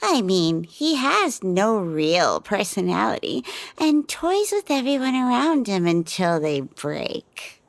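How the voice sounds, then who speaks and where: A woman's voice: mid-range voice, big pitch swings, slow pace, vocal fry, dry recording, full character voice. A woman playing a bloodthirsty fighter who taunts with playful menace, and narrates. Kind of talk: audio drama acting and narration.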